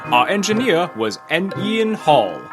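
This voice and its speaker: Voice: mid-range voice, sing-song, measured pace. An announcer reading cast and production credits in a formal old-time radio style. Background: music.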